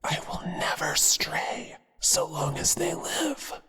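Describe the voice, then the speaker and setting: A performer voicing a possessive, obsessive male spider monster, tender toward his mate and quietly menacing, in a breathy whisper for a horror ASMR roleplay. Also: sits high, wildly swooping pitch, heavily acted. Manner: slow, deliberate, menacingly affectionate. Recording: hushed whisper with long reverb.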